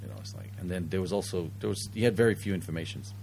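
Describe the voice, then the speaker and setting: A Danish-raised man, a guest, telling his story in quick mixed Japanese and English. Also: low-pitched, creaky, a little mellow, slight background noise, poor audio. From Denmark. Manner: rapid, switches between Japanese and English mid-story. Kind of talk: bilingual casual interview.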